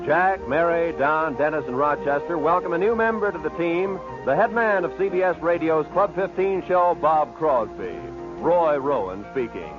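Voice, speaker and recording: Male announcer, American accent, fairly high voice, vintage 1950s radio delivery, dull sound, music behind. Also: lively intonation, moderate pace.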